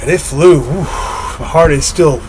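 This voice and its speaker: A man talking slowly and dreamily to the camera, giggling softly and trailing off, his voice slightly hoarse. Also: a little high, background noise. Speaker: spiritual vlogger. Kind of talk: reflective solo monologue.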